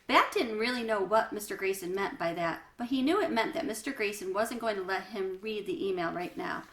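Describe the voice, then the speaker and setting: A woman reading a children's story aloud as a storyteller, with expressive delivery. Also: medium pitch, fairly quick. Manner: explains hard words in asides. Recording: roomy, echoey room sound.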